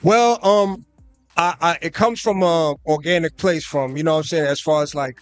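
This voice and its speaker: A man's voice: on the high side, moderate pace, clear, resonant tone, no room sound, soft background music. A young man from the United States, a guest rapper, talking loosely in a casual radio interview with plenty of fillers. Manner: relaxed, loose, with many fillers and "you know what I'm saying". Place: live concert radio booth.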